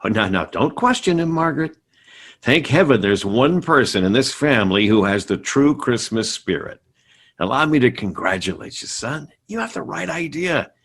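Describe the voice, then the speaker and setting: Casting: middle-aged American sitcom dad, indignant and nostalgic, rough-edged voice, big swings in intonation. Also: male voice, medium pitch, sing-song, moderate pace, fairly bright tone, full character voice. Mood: proud. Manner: stammering, indignant, sarcastic. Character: exasperated middle-aged father nostalgic for simple Christmases. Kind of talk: live radio sitcom acting.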